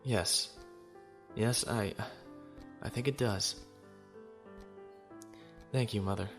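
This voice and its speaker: A man's voice: on the low side, slow pace, faint music behind. A man playing a conflicted, honorable young naval officer in a sci-fi audio drama, earnest and brooding. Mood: earnest, troubled.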